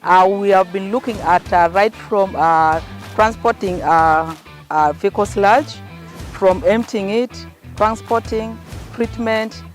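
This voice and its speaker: A woman's voice: mid-range voice, takes it slowly, faint music behind. A woman project officer, interviewed, speaking hesitantly with many fillers.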